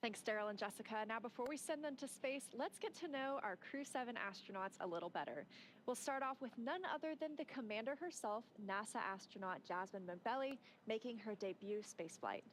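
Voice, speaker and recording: A woman's voice: fairly high pitch, animated, brisk, slight background noise, poor audio. A woman presenting a broadcast segment in an upbeat, polished, scripted way. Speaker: segment host.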